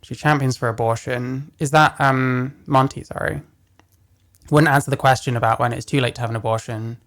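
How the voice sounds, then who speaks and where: A man with a deeper voice giving casual reaction commentary, blunt and profane as he rants. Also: fairly even intonation, normal speed, a bit bright. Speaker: commentary host.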